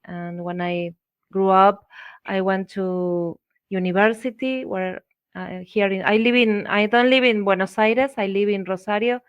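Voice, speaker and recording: Woman, middle-aged, Argentine accent, native Spanish speaker, unhurried, smooth clear voice, halting interview storytelling. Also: mid-range voice, no room sound. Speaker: interview guest, visual artist. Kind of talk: conversational personal storytelling.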